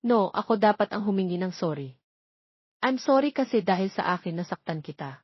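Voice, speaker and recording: A woman's voice: medium pitch, lively intonation, a little fast, breathy edge, no room sound, highs cut off. A woman with a Filipino accent voicing an earnest, devoted young male politician who pleads sincerely in an audiobook romance. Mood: apologetic.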